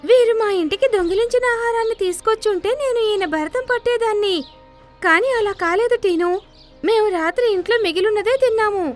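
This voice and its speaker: A woman's voice: extremely high pitch, a little fast. A woman voicing a small, assertive female bird in a children's cartoon story. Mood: brisk, decisive. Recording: background music under the voice.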